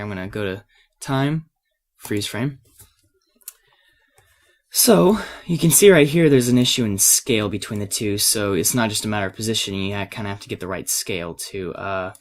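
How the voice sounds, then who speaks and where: A man with a fairly low voice, made a little raspy by a cold, presents an unscripted software lesson. He speaks casually and hesitantly, working things out aloud as he goes. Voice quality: slightly raspy. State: has a cold. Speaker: tutorial presenter.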